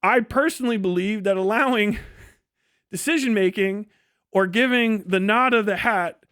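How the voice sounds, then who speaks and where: A man with a notably high voice talks off the cuff as a livestream host. He is blunt and sarcastic and swears freely. The sound is dry and close, with very little room in it. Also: moderate pace, fairly bright tone, smooth, no room sound. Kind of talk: casual livestream commentary.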